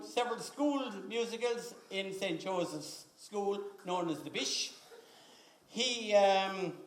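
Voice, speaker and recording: High-pitched Irish male announcer in echoey hall. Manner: emphatic, ceremonial build-up to each name. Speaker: presenter announcing award recipients at an event. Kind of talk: live stage announcing, calling out names. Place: large echoey event hall. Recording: echoey hall PA, loud music and crowd. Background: loud music and crowd noise.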